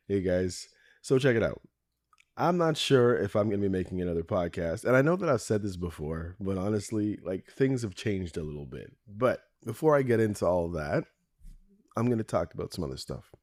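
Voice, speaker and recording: A man hosting his own podcast in a rambling solo monologue, his voice hoarse and raspy from a cold, chuckling often between asides. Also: lowish voice, expressive, normal speed, darkish tone. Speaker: podcast host.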